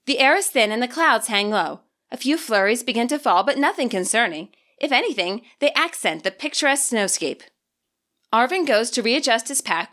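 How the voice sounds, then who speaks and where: A woman reading her own fan fiction aloud as an audiobook, with expressive storytelling. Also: fairly high pitch. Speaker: fanfiction author reading her podfic. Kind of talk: audiobook narration.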